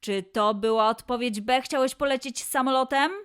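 A woman presenting a children's quiz as host, posing questions and answers in a clear way for young listeners. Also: sits high, normal speed, fairly bright tone.

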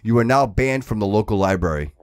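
A man with a fairly low voice hosts a laid-out, informal podcast conversation. He is effusive and circles back over his phrases to drive them home. The recording is dry, with very little room sound. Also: animated, quick pace, no room sound. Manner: effusive, repeats himself, says bro and dude. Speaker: podcast host. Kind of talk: casual podcast chat.

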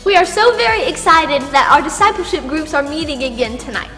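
A woman's voice: high-pitched, animated, brisk, pushed and bright. A young woman giving upbeat, scripted church announcements with enthusiasm, addressing the congregation. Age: young adult. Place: large empty church sanctuary, indoors. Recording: echoey church hall, music behind.

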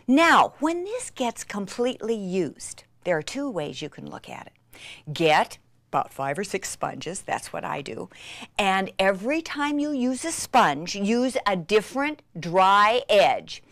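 A middle-aged woman presenting a chatty craft tutorial in an excitable, gushing manner with dramatic emphasis. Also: medium pitch, no echo.

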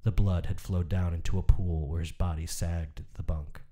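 A man's voice: sits low, fairly even intonation, moderate pace, dark tone. A man reading a short story aloud as literary narration for a podcast. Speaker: podcast co-host and story reader.

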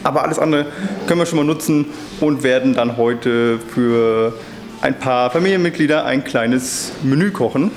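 A man's voice: mid-range voice, moderate pace, faint music behind. A cheerful, chatty man in his thirties filming a vlog in a tiled restaurant kitchen on a handheld camera, laughing often. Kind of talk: casual vlog chat. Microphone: handheld camera mic. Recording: echoey kitchen with loud clatter.

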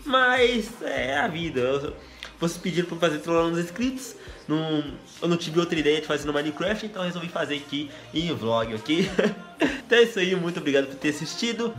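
A young man from Brazil talks fast and excitedly to his viewers from a small room at home. His voice is bright and slightly high, and it sounds stuffy from a cold. Faint music plays underneath. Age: young adult. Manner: excitable, laughing, slangy. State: congested with a cold. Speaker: gaming youtuber. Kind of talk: casual vlog chat.

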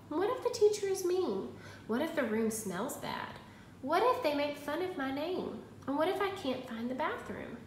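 A woman in her thirties teaching young children, expressive and instructive, in the manner of a picture-book read-aloud. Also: high-pitched. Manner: expressive, instructive, prompting listeners with questions. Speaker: primary school teacher. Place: indoors in a room at home. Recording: roomy echo, faint background noise.

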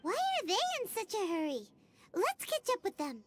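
A woman voicing a tiny, chatty floating fairy-like companion in a game cutscene, very high and squeaky with bubbly exclamations. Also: wildly swooping pitch, normal speed, loud music behind the voice, full character voice. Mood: excited.

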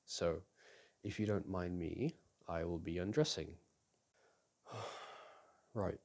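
Slow, gravelly young man. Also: low-pitched. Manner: soft and teasing, with frequent sighs and chuckles. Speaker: boyfriend in an audio roleplay. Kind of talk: intimate ASMR roleplay, speaking to an unseen partner. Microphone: close microphone.

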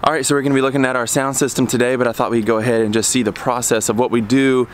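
A man's voice: medium pitch, level tone, racing, slight background noise. A man in his thirties, a worship leader, chatting casually and joking while filming himself with a handheld camera. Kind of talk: casual vlog chat. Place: church hall and outdoor parking lot. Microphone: handheld camera at arm's length. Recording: music playing behind.

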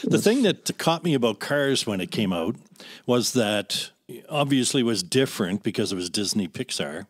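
A man's voice: medium pitch, big pitch swings, normal speed. A man hosting a podcast, interviewing a guest in a conversational style. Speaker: podcast host.

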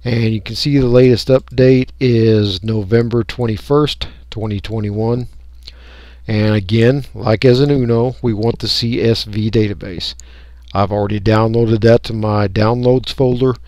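A man talking through a software tutorial step by step in an unscripted, folksy way. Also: fairly low pitch, steady tone, a little slow, no room sound. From United States. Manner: unscripted, folksy, explaining each click. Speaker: radio hobbyist giving a tutorial. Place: indoors, voice-over for a screen recording.

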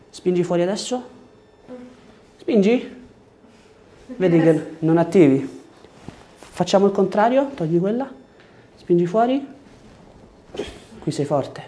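A man's voice: sits high, mellow. A man in his thirties, a chiropractor giving short directions and explanations to a patient during treatment. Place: small indoor treatment room. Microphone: lapel mic. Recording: clear background room noise.

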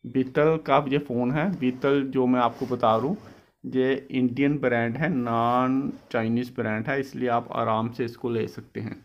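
Male product reviewer, average pitch, explains and rephrases, dull phone-mic audio. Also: steady tone, unhurried. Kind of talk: informal product review narration.

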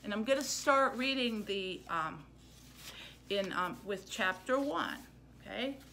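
A middle-aged American woman giving an informal book talk to camera as a librarian, halting and full of ums. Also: medium pitch, animated, bright, forceful tone. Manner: halting, hesitant, with very frequent ums. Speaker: librarian and book-stream host. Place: small plain indoor room. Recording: noticeable background noise, room ambience.

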